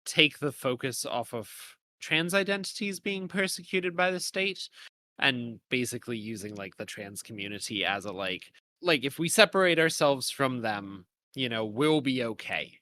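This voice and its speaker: A man's voice: medium pitch, moderate pace, sharp tone. A man co-hosting a podcast, talking through ideas casually and analytically in long, winding, hedged sentences. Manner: hedging, thinking aloud in long winding sentences. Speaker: podcast co-host.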